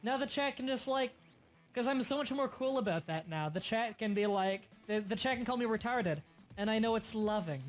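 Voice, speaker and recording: A young man hosting a casual gaming livestream, explaining game mechanics in quick bursts and sometimes stuttering. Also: high-pitched, expressive, faint music behind. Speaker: livestream host. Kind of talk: casual gaming stream chat.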